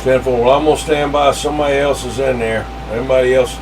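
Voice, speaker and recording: A man's voice: mid-range voice, fairly even intonation, fast talker. Man on a roaring, distant CB signal. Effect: CB radio transmission. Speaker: CB radio operator. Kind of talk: casual chat over CB radio. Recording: distant, very noisy CB radio transmission.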